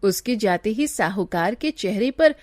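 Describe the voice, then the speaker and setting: A woman's voice: medium pitch, expressive, unhurried, a little mellow, no echo, clean studio sound. A woman giving scripted narration of a story as its narrator.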